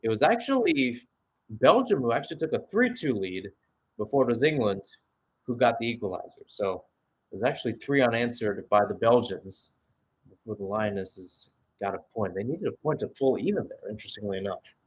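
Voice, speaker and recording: A man's voice: lowish voice, animated, a little fast, rough recording. Man calling live football play-by-play. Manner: conversational, analytical asides between short clipped calls. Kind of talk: live radio-style sports commentary.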